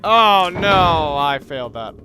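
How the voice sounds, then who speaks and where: Guy doing a let's play, kinda high voice, wry and hesitant, game music pretty loud behind him. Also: lively intonation, slow. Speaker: video game let's player. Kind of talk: casual gameplay commentary. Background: game music, gunfire.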